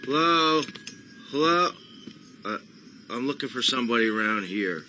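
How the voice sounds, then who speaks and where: A man's voice: mid-range voice, expressive, moderate pace, bright, forceful tone, a little hiss or hum. An actor playing a wary, confused American tough guy in a video game, hesitant and guarded. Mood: dazed, wary. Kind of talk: video game cutscene acting.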